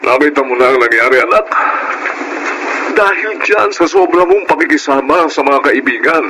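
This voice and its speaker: A man's voice: fairly high pitch, expressive, normal speed, heavy background noise, lightly acted. An actor playing a caring, admonishing middle-aged father in a Filipino radio drama. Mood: gently scolding.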